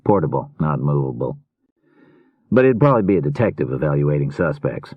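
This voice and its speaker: A man's voice: very deep voice, expressive, brisk, slightly rough, no room sound, narrow, tinny audio, full character voice. A man voicing a patient, easygoing middle-aged police detective who explains things matter-of-factly in an audiobook. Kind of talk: audiobook character dialogue.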